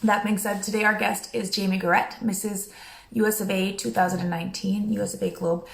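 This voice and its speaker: A woman's voice: mid-range voice, level tone, a little fast, creaky. A woman from Canada hosting a live online interview, chatty and upbeat, often repeating herself. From Canada (Alberta). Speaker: host. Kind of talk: casual live video interview.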